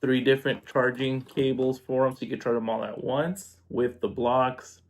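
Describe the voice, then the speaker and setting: A man's voice: medium pitch, little pitch movement, moderate pace. A man talking informally through a casual unboxing review, demonstrating step by step. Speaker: product reviewer. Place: indoors at home.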